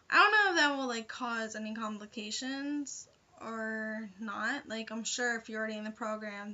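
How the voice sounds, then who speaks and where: A young woman talks in a bright, slightly high voice, as if giving friendly tips to viewers of her vlog. Her delivery is casual and chatty, full of informal hedges. The sound is a bit dull and lo-fi, like a simple home recording. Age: young adult. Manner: informal, hedging, frequent "like" and "you know". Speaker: vlogger giving interview tips.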